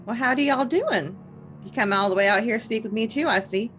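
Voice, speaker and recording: A woman's voice: fairly high pitch, brisk, a bit bright, faint music behind, pitched above the performer's own voice, lightly acted. Woman doing a blunt navy lieutenant. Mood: friendly. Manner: blunt, folksy, no-nonsense. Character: brash woman Martian navy lieutenant, Texan drawl. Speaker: guest player.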